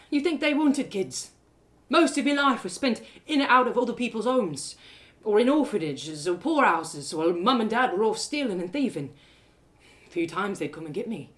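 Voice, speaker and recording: A woman's voice: mid-range voice, close to an everyday voice. A woman voicing a glum young male cobbler in a storybook drama, wounded but kind, with a plain-spoken, rueful way of talking. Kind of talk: storybook audio drama character.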